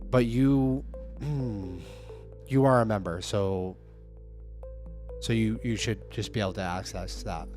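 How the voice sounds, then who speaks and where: A man with a hoarse, fading voice chatting casually on a livestream, upbeat and talking straight to his viewers. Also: on the low side, slow, pauses often, darkish tone, faint music behind. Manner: upbeat, hype-driven, addresses viewers directly. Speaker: livestreaming app developer.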